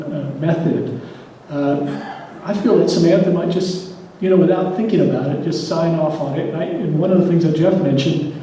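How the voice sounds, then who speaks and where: A man with a dark, mellow voice gives a rambling public comment, heavy with fillers and restarts. He sits far from the microphone in a large, echoing hall, and faint noise sits under the recording. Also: fairly high pitch. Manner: hesitant, many fillers and restarts. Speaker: community member speaking at a public meeting.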